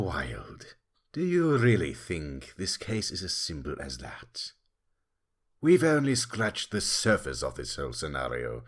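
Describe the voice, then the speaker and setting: A man's voice: sits low, exaggerated voice. A man playing a smug, relentless animal prosecutor in a courtroom audio drama, taunting and theatrical in his questioning. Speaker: voice actor in fan audio drama. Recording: loud music under the voice.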